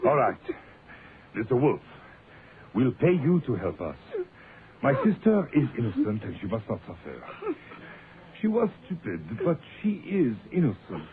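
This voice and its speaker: A man's voice: medium pitch, huge pitch swings, measured pace, pauses often, crowd noise. An actor playing a proud, defiant foreign man in a radio mystery, speaking in formal, emphatic, stilted phrases. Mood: pleading. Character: proud, defiant, intense foreign man protecting his sister. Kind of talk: radio mystery drama acting. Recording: narrow, tinny, noisy old-time radio recording.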